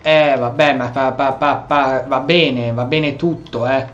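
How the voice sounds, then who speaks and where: A man's voice: mid-range voice, steady tone, a little slow, dull audio. A man with an Italian accent giving casual, playful gameplay commentary, reacting aloud as host. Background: game music.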